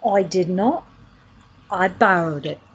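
A woman's voice: fairly low pitch, slow pace, husky, highs cut off, heavily acted. An actress playing a playful young woman who teases her girlfriend, in an audio drama. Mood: teasing. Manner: playful, teasing. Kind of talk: amateur audio drama acting.

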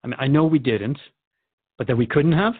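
A man's voice: mid-range voice, brisk, slightly rough, phone-quality sound, natural voice. A man playing an easygoing radio host who interviews in a casual, questioning way in a radio drama.

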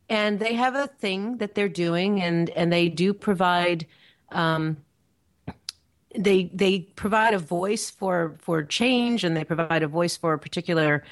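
A woman on a discussion panel talking casually and thoughtfully, often pausing to find her words. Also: medium pitch.

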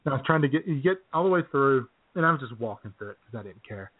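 A man's voice: medium pitch, lively intonation, fast, husky. A man co-hosting a radio show in casual, comedic chat, telling stories in a jokey, self-deprecating way. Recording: tinny phone-like sound.

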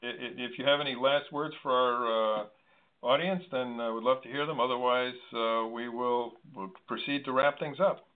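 A man hosting a conversational talk show, with halting, hesitant phrasing. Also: mid-range voice, steady tone, smooth. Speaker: host. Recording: thin phone-line sound.

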